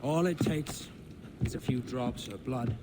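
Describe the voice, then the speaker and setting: Actor as a gruff, swaggering pirate captain. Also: male voice, mid-range voice, a little slow, background noise, natural voice. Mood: rallying. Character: rough, hearty pirate captain, a grown man. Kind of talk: video game cutscene acting.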